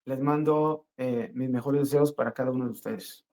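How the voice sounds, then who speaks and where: A middle-aged man giving an earnest, spontaneous personal religious testimony, speaking haltingly and repeating phrases for emphasis. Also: mid-range voice, fairly even intonation, warm tone.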